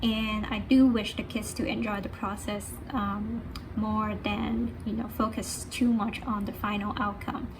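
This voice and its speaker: A woman's voice: medium pitch, level tone, measured pace. A young woman with a Hong Kong accent, an artist and art teacher who talks casually about her work, switching between Cantonese and English with many fillers. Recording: noisy background.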